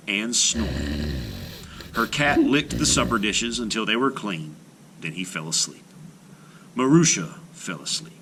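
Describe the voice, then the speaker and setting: A man reading a children's folktale aloud as scripted narration, with dramatic delivery and hushed phrase endings. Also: mid-range voice, noisy background. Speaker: storyteller, children's read-aloud host. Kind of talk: expressive scripted storybook narration.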